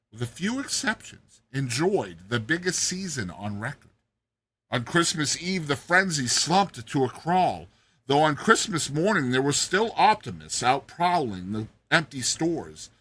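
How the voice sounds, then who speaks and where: A man reads a classic story aloud as a dramatic narrator. His voice sits a little high and swings widely in pitch, with a bright, forceful edge. The tone is rough rather than smooth, and the delivery is expressive and theatrical. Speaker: story narrator, show host. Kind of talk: dramatized audiobook reading of a classic satirical story.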